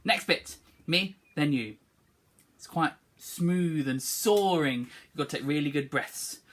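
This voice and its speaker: A woman's voice: low-pitched, slow. A woman teaching children a song, speaking encouragingly and singing short phrases for them to repeat. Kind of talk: online singing lesson, call and response.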